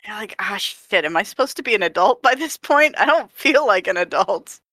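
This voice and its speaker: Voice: medium pitch, lively intonation, a little fast, bright, forceful tone, no room sound, studio quality. A podcast co-host chatting casually, laughing often and joking about not feeling like an adult. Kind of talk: casual chat.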